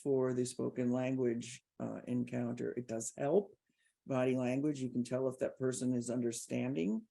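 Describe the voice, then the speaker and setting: A woman's voice: rumbling low, steady tone, moderate pace, creaky, warm tone. A woman hosting a podcast, giving articulate, emphatic conversational commentary and posing rhetorical questions. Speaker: podcast host.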